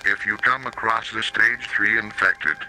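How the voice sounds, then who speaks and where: Synthetic male narrator for horror safety tape: robotic, slightly low, piercingly bright, mechanical delivery over music. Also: poor audio. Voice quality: robotic synthetic text-to-speech voice. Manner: flat, mechanical, odd pronunciations. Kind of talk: scripted analog horror public-safety narration. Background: slow music.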